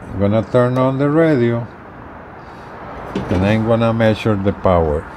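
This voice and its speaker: A middle-aged man giving an unscripted how-to demonstration in halting, short phrases with repeats, recorded outdoors on a porch. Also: on the low side, animated, background noise. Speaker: gadget reviewer demonstrating equipment.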